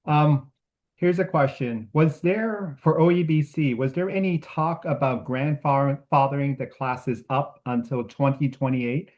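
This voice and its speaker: A man moderating a webinar on a video call, reading out students' questions in a halting way, with many fillers and restarts. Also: mid-range voice, darkish tone. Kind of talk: hosting a webinar Q&A.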